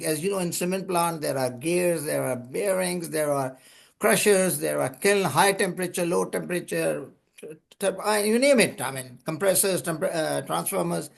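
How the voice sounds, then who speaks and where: An older man from Pakistan, an English speaker, telling long stories of his career in a relaxed way with small chuckles and restarted words. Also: sits high, vocal fry. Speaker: guest, lubrication consultant. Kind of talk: podcast interview storytelling.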